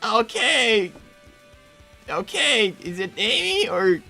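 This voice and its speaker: Someone doing a nervous tired teen fox boy, creaky n bright, stammers a lot, music behind. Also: medium pitch, big pitch swings, takes it slowly, heavily acted. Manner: hesitant, stammering. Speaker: live stream host reading donations. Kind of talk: improvised in-character livestream.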